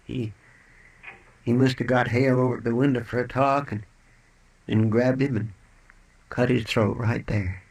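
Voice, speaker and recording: An American actor playing a loyal, deferential, folksy western deputy in an old-time radio drama. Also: male voice, medium pitch, steady tone, moderate pace, pauses often, a little mellow, slightly rough. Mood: anxious, earnest. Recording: noisy old radio recording.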